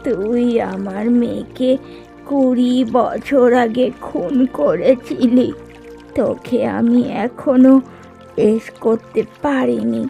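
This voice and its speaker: A woman's voice: on the high side, creaky, warm tone. A woman playing a bitter, grieving, defiant old village woman in a children's cartoon. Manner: slow, defiant, vengeful. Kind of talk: cartoon voice acting. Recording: music behind the voice.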